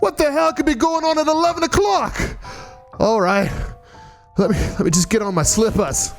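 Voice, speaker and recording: A man's voice: very high-pitched, moderate pace, soft background music. A man playing a cranky, blustering old neighbour and husband with a strained, stammering delivery in an audio drama. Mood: grumpy, indignant.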